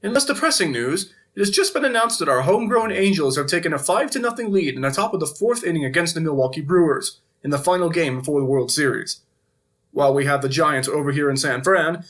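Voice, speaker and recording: A man's voice: fairly high pitch, close to an everyday voice. A man playing a flippant TV news anchor in an audio drama, reading reports with wry, editorializing asides. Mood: grave, newsy, then opinionated, upbeat. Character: flippant male TV evening news anchor covering a zombie outbreak.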